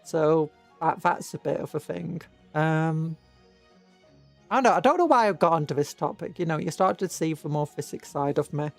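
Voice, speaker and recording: A British livestreamer talks casually to viewers in a medium-pitched voice. The delivery wanders, with hesitations and restarted sentences. Soft music plays behind the voice. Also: normal speed, creaky. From Britain. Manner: rambling, hesitant, trailing off and restarting. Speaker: livestream host. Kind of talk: casual rambling livestream chat.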